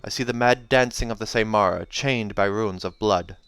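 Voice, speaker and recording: A man's voice: fairly low pitch, moderate pace, fairly bright tone, clear, resonant tone. A man voicing a savage young female seer from a fantasy audiobook, mystical and haunted, speaking in dramatic, incantatory fashion. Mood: visionary, rising. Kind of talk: audiobook character acting.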